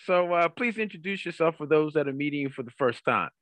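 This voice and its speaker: A man's voice: on the high side, expressive, fast talker, a bit bright, dry recording. A man hosting a career coaching podcast in an upbeat interviewing style, emphatic and given to repeating phrases.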